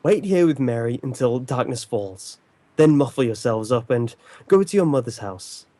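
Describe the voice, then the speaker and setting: A man plays a commanding, calm and stern detective in a vintage radio play. His voice is mellow and dark, with formal, authoritative diction and a very dry, close sound. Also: medium pitch, moderate pace, no echo, natural voice. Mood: commanding, deliberate. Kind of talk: old-time radio drama acting.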